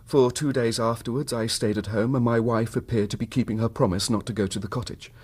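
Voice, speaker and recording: Victorian husband, male actor, British, husky mid-pitched voice, formal, earnest, emotionally wounded, period radio drama. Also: steady tone, brisk, a little mellow, lightly acted. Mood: troubled, recounting. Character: troubled, proud, suspicious Victorian husband. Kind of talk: radio drama acting, narrating his own story.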